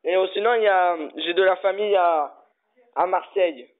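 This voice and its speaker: A man making a prank phone call, stubbornly pushing absurd demands in a halting way. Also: high-pitched. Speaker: prank caller. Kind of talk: phone prank, playing a pushy customer. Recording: tinny phone line.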